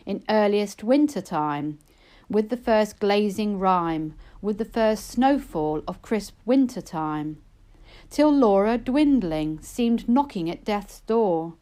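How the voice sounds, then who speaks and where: A woman reading narrative poetry aloud, with measured, expressive and dramatic phrasing. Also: mid-range voice. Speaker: poetry reader.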